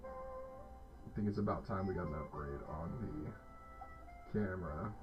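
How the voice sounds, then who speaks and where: A man's voice: low-pitched, wildly swooping pitch, unhurried. A man hosting a casual hot sauce review, talking with enthusiasm and rambling through fillers and restarts. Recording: dull lo-fi sound with faint music.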